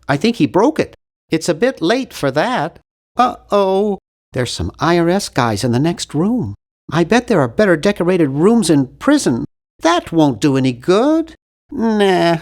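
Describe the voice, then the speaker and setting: A man's voice: sits high, exaggerated voice. A man voicing a timid, bookish young nerd in a cartoon adventure game, speaking in a pedantic, fussy way. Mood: mildly bemused. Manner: pedantic, prim, fussy delivery.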